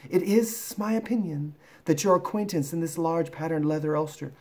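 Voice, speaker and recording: Storybook python, male: pompous, slow and deliberate, hushed, slightly raised pitch, long-winded; performed by a man. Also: natural voice. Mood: pompous, advising.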